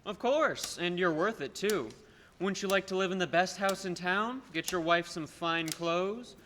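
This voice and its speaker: A young man acts out a rich, scheming businessman for a radio play. His male voice is sharp and fairly high, smooth-talking yet domineering and patronizing. It carries through a reverberant hall with faint noise behind it. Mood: coaxing. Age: young adult. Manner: patronizing, persuasive, deliberate emphasis. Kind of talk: filmed radio play acting. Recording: echoey hall, background noise.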